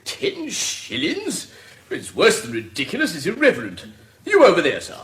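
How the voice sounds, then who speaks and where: An actor playing a pompous, wheedling auctioneer with a British accent in a radio drama. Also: male voice, high voice, wildly swooping pitch, normal speed, a bit bright, reverberant, heavily acted. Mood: affronted. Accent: British English. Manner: pompous, salesmanlike patter. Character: theatrical wheedling male auctioneer, easily affronted.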